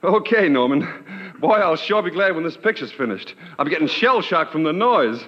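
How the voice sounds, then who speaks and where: An actor playing a polite, easygoing film actor chatting casually in a 1940s radio comedy. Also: male voice, fairly high pitch, lively intonation, normal speed, big-room sound. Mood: relaxed, friendly. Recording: dull, lo-fi old radio recording.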